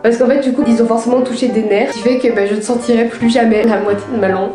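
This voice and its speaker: A woman's voice: on the high side, steady tone, fast. A young woman with a French accent from France, telling casual personal anecdotes to viewers in an informal, slangy, confiding way. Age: young adult. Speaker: video creator. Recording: roomy echo, music underneath.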